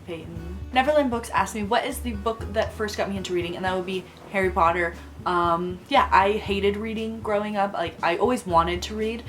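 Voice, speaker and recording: A young woman talks to camera from a bedroom lined with bookshelves, gushing in an excitable fangirl way. Her voice has a slight roughness from a coming cold and sits at an ordinary pitch. Faint music plays underneath. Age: young adult. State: voice a bit rough from getting sick. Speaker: book vlogger. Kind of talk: casual Q&A vlog.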